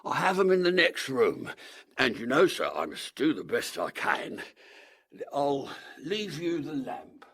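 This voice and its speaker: A gravelly, slow-speaking villain, a murderous barber from a Georgian melodrama. His delivery is sharp and menacing, swinging widely in pitch, with theatrical halts. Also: huge pitch swings, heavily acted. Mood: oily, obsequious. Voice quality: gravelly, rasping. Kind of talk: period audio drama acting.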